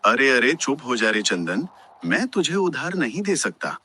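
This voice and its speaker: A man playing a gruff, dismissive village headman in a moral cartoon story. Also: mid-range voice, big pitch swings, unhurried, bright, forceful tone, slight background noise.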